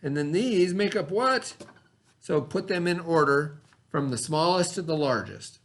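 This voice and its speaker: Man, slightly high voice, teacher, children's read-aloud lesson, instructional, repeats key words, prompting questions. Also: expressive, a little slow.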